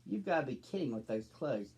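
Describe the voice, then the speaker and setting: A man's voice: mid-range voice, sing-song, a little fast, darkish tone. A man voicing a condescending, mocking older girl bear cub in a children's storybook.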